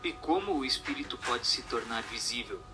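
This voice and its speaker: A man's voice: medium pitch, normal speed, over music. A man narrating a scripted, dramatized book reading in a formal, declamatory way, posing questions and reading out commentary.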